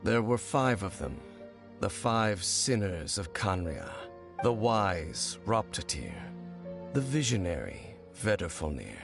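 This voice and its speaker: An actor playing a grave, brooding ancient warrior in a video game, speaking in a low, measured, solemn way. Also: male voice, very animated, heavily acted. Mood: bitter grave. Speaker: game character. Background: faint game music.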